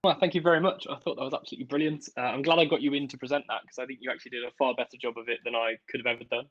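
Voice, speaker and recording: A man's voice: mid-range voice, steady tone, racing, fairly bright tone, no echo. Chatty guy hosting a webinar, muffled dry sound. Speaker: event host. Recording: dull, dry call audio.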